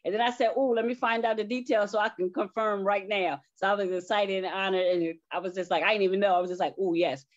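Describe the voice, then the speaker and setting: A woman's voice: mid-range voice, steady tone, quick pace, hardly any pauses. A middle-aged woman speaking casually as a guest in an interview. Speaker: interview guest, stage play cast member. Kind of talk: casual self-introduction and chat. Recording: dull lo-fi audio.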